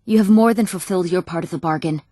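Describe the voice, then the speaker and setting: A woman's voice: fairly low pitch, fast talker, warm tone, no echo, studio quality, close to an everyday voice. A woman voicing an emotive, dramatic young woman in a fantasy video game. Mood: grateful. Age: young adult. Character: eager, wronged young woman of a fantasy world. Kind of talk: video game character voice lines.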